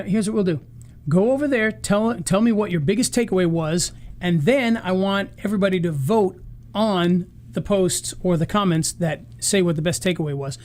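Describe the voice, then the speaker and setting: A man's voice: high voice, darkish tone. A man hosting a live marketing session, talking loosely and rambling with restarts, starting to lose his voice. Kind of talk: casual live-stream wrap-up.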